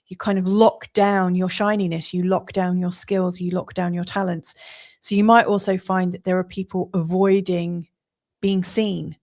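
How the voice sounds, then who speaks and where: A woman's voice: fairly low pitch, level tone, moderate pace, darkish tone. A woman from England with an English accent, giving an astrology forecast in an earnest, chatty monologue with frequent laughs and fillers.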